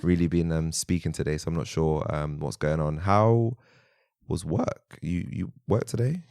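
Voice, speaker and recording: A man's voice: very deep voice, moderate pace, a little mellow, smooth, no echo, clean studio sound. A man hosting a podcast, losing his voice, reacting eagerly with frequent wows. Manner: eager listener, frequent "wow" and "mm-hmm". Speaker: podcast host. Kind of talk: casual interview chat. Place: podcast booth.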